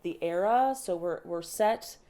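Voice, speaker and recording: A woman's voice: on the low side, a little slow, mellow. Young woman director, thinking aloud. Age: young adult. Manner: thoughtful, restarts often, laughs lightly. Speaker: opera director. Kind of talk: casual podcast interview.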